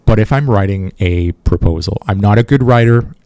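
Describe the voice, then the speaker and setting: Man, first language French, podcast host, mellow low voice, conversational with restarts, dry close sound. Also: moderate pace, dry recording. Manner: conversational, many restarts and "right?" tags. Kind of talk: solo podcast talk on leadership.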